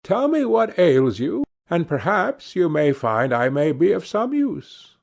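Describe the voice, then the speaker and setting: Man voicing a high-pitched, coaxing little storybook dwarf. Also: sing-song, measured pace, vocal fry, exaggerated voice. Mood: coaxing, curious. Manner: archaic, probing questions. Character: little rough-looking male dwarf, inquisitive and coaxing.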